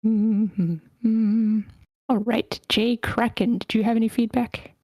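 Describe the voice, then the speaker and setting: A woman's voice: on the high side, little pitch movement, slow, mellow, no echo. A woman informally moderating an online meeting and calling on people for feedback. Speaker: moderator of a table-read meeting.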